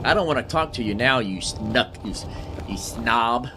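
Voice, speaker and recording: A man with a medium-pitched voice talks through the game he is playing. He thinks out loud casually, circling back and repeating phrases as he decides what to do. Music plays clearly behind his voice. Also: expressive, normal speed. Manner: rambling, thinking aloud, repeating himself. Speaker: gamer narrating own playthrough. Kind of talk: casual gameplay commentary.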